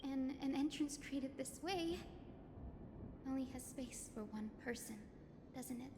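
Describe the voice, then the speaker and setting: High-voiced, composed young healer. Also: female voice, big-room sound, noisy background. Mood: solemn, resolute. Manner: earnest, measured, formal. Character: gentle, composed young female healer, selfless and resolute. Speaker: game character voice actor. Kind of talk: scripted game dialogue.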